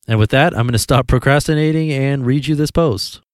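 A man hosting a podcast, calmly reading a blog post aloud in an even, easygoing way. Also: mid-range voice, brisk, slight background noise. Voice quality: calm, even voice.

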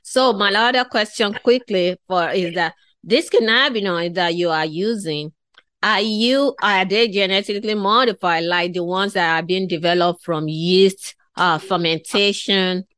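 A woman's voice: mid-range voice, measured pace, a bit bright, clean tone, no room sound, clean studio sound. A woman interviewer from West Africa asking long, enthusiastic questions. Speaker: podcast host.

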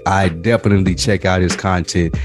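A man's voice: sits low, steady tone, brisk. A man hosting a podcast in a casual, slangy, profane way. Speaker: podcast host. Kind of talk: casual chat. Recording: music playing behind the voice. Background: music.

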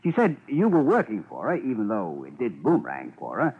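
An American man playing a dry, smugly patient police official in a 1950s radio drama, with a husky voice and mock-officious deadpan delivery. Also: lowish voice, a little fast, exaggerated voice. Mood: teasing. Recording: muffled, phone-like 1950s radio recording.

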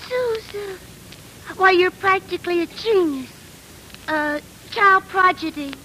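An actress playing a naive, awestruck high-school girl with theatrical, breathless gushing in 1940s radio style. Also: takes it slowly, bright, forceful tone, a little hiss or hum, heavily acted, poor audio. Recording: vintage 1940s radio recording.

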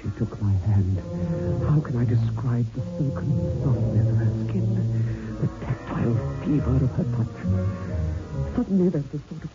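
A man's voice: medium pitch, animated, moderate pace, natural voice. A man playing a nervous bachelor schoolmaster who narrates floridly in a 1960s radio horror drama. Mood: enraptured. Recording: muffled old radio recording, loud music.

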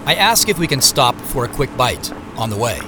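An actor narrating an audio drama in the first person as a reflective man recounting his day in a measured, scripted way. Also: medium pitch, sing-song, over music, lightly acted.

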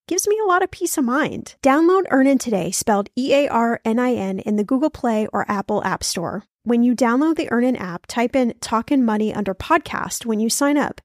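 A woman's voice: on the high side, darkish tone. A woman co-hosting a podcast, moving between casual chat and scripted sponsor reads. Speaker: podcast co-host.

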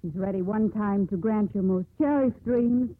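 An actress playing a headstrong, poetic young daughter of a sea captain in a 1950s radio drama. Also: female voice, mid-range voice, normal speed, no room sound, close to an everyday voice. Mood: lyrical narration. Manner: insistent, poetic. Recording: muffled, narrow-band old radio broadcast.

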